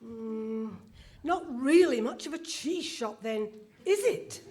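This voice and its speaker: A woman's voice: a little high, lively intonation, slow pace, a little mellow. A woman playing a naive, puzzled recording producer with a questioning manner in a live radio comedy play. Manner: hesitant, questioning. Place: hall stage in front of a live audience.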